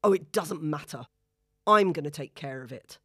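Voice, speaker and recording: Woman reading a bullying dad, low, loud, dry close read. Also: expressive, normal speed, slightly rough, no room sound. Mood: angry. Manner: shouting, bullying, demanding. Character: irate domineering middle-aged father.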